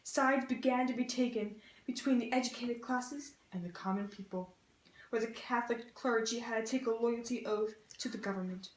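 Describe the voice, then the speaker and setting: A woman's voice: fairly high pitch, moderate pace, slight background noise. A young woman narrating a scripted educational puppet show in an informal, chatty explaining way. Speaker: student presenter. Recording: echoey room, slightly distant mic.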